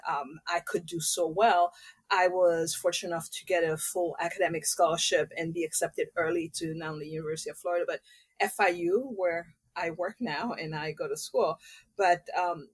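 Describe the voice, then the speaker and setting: Low-voiced woman telling her story. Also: steady tone, moderate pace, husky. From Haiti. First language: French. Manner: candid, personal storytelling. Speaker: guest artist. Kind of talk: casual interview.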